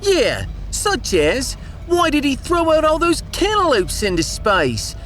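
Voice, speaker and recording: A man's voice: very high voice, huge pitch swings, slow, fairly bright tone, soft background music, pitched above the performer's own voice, full character voice. A man voicing a whiny, indignant refugee in a sci-fi audio drama, with colloquial grumbling.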